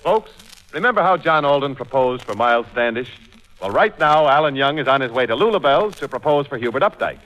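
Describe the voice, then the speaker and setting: A man's voice: medium pitch, lively intonation, brisk, fairly bright tone, lo-fi sound, faint music behind. An American man announcing in a polished, persuasive 1940s radio commercial style.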